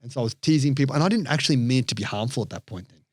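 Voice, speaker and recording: A man with an Australian accent tells his stories with energy as a podcast guest, slipping into the voices of the people he quotes. His pitch sits in the middle range. The sound is dry and close to the mic. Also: very fast, no echo. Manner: animated storyteller, acts out quoted voices. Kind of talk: storytelling interview chat.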